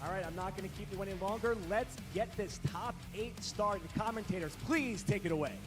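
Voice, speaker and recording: A man working as a tournament stage announcer, rallying a crowd and shouting out drawn-out names. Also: sits high. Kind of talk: hype introductions to a live audience. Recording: loud music over the voice. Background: loud music.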